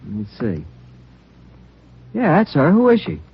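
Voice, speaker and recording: A nervous counterman at an all-night donut shop who rambles on, says sorry and repeats himself. The husky voice swings lively in pitch. It comes through a dull, muffled 1950s radio broadcast. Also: slow, heavily acted. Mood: chatty. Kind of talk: 1950s radio drama acting.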